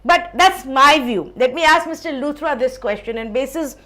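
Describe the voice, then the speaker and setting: A woman's voice: high voice, expressive, normal speed, bright, forceful tone. A woman with an Indian accent hosting an explainer interview, speaking articulately in long explanatory sentences. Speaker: host, interviewer. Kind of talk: explainer show presenting.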